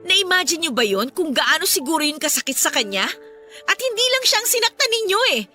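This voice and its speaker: An actress with a Filipino accent playing a bitter, defiant young adult daughter in an emotional, accusing radio drama style. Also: female voice, very high-pitched, big pitch swings, fairly quick, bright, forceful tone, soft background music, heavily acted. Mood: tearful, furious.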